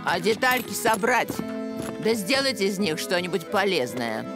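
A woman's voice: mid-range voice, big pitch swings, a little slow. A woman playing an exasperated, no-nonsense village woman in a cartoon, decisive and theatrical. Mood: firm, relieved. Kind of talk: animated cartoon acting. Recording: music behind the voice.

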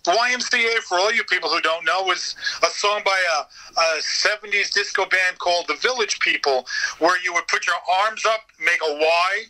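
A man's voice: high voice, normal speed, bright, forceful tone, poor audio. A man speaking as an interview guest in casual, enthusiastic chat, swearing freely. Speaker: podcast guest, voice actor.

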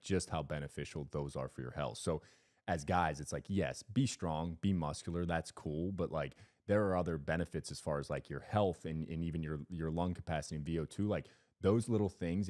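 A man in his thirties, co-hosting a podcast in a studio and talking casually into a boom mic. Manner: rambling, animated, gestures a lot. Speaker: podcast co-host. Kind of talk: casual chat. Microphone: headphones and boom mic.

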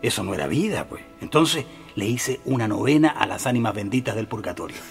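A man's voice: fairly low pitch, sing-song, a little fast, gravelly. An actor playing a naive, earnest male shopkeeper in a radio drama, candid and flustered, repeating himself politely. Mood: earnest, insistent. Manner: flustered, repetitive, pleading politeness. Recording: music behind the voice.

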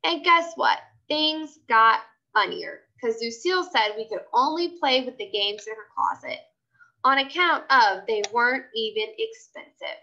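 Animated, high-voiced woman reading a children's story. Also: measured pace, muffled recording. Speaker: read-aloud storyteller.

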